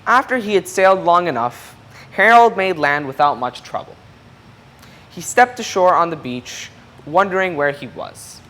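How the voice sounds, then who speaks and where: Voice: mid-range voice, expressive, normal speed, a bit bright. A person reading a children's picture book aloud expressively, explaining things and putting questions to young listeners. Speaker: storybook reader.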